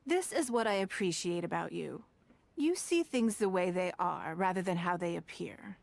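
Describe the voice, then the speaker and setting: A woman voicing a poised, shrewd, wealthy businesswoman in a video game, speaking in a calm, measured, elegant way. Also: on the low side, vocal fry, dry recording. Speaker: game character.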